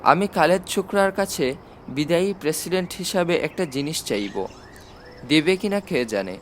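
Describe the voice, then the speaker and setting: A man's voice: fairly high pitch, lively intonation, moderate pace, a little hiss or hum, close to an everyday voice. A man voicing a self-satisfied elderly president with chatty, dry humour in an audiobook. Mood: wryly cheerful. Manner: chatty, drily humorous. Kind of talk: audiobook character dialogue.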